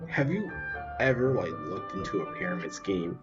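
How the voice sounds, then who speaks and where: A man's voice: low voice, big pitch swings, moderate pace, dull audio. A young adult man giving casual commentary as he hosts a gaming video. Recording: loud game music behind voice. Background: game music.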